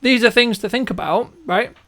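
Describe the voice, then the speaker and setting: A man with a notably high voice talks as an interviewer and coach. He gives conversational advice emphatically, repeating phrases and punctuating points with short checking questions. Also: normal speed, fairly bright tone. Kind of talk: conversational interview, advising viewers.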